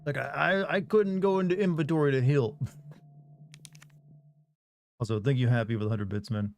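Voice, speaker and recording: A man streaming a horror game, chatting casually with viewers and breaking into loud, cursing outbursts when things go wrong. His voice sits at a middling pitch, with clear noise running behind it. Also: moderate pace. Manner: reactive, swears and yells at deaths, thanks viewers. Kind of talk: casual live-stream commentary.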